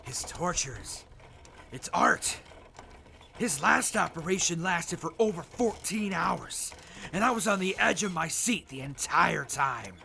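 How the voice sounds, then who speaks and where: A creepy, gleeful raider played by a man with a very sharp, gravelly edge. He draws out his words and savors cruelty, as in a post-apocalyptic radio play. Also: sits high, lively intonation, measured pace, a little hiss or hum, exaggerated voice. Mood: rapturous. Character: sadistic male wasteland raider who savors torture and gore. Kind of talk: post-apocalyptic audio drama acting.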